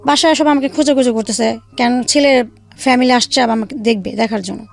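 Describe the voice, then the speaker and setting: A woman telling her own life story in a halting way, with many fillers and restarts. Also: fairly high pitch, creaky, faint music behind. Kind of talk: emotional personal storytelling.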